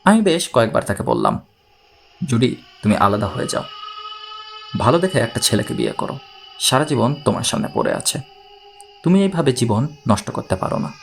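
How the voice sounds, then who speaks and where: A man playing a troubled, courteous man who gravely recounts his own strange story in an audiobook. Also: medium pitch, wildly swooping pitch, moderate pace, long pauses, faint music behind, pitched below the performer's own voice. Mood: grave, confiding.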